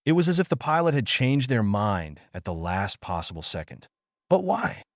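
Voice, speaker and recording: A man's voice: mid-range voice, very animated, moderate pace, no echo, studio quality. A man reading a scripted story in a dramatic, suspenseful narrator's style.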